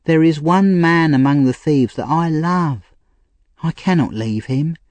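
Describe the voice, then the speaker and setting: Man doing a frightened young woman thief, high-pitched, urgent, pleading, dry close sound. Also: a little slow, darkish tone, no echo, close to an everyday voice. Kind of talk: audiobook character reading.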